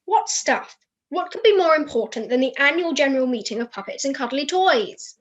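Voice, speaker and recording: High, prim, indignant puppet played by a woman. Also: lively intonation, moderate pace, lo-fi sound. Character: prim, indignant stickler puppet, devoted to procedure. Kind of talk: amateur youth puppet show acting.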